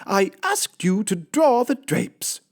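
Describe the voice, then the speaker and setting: An easily shocked lady of the house played by a man exclaims in a high, shrill storybook voice. The words are stretched out slowly and swoop dramatically, full of exasperation. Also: big pitch swings, heavily acted. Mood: exasperated.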